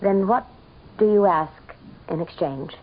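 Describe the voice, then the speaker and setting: An actress playing a haughty, desperate wife with a dramatic, scornful intensity in a radio mystery drama. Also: female voice, medium pitch, unhurried, lightly acted. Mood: stunned, wary. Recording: dull old radio broadcast.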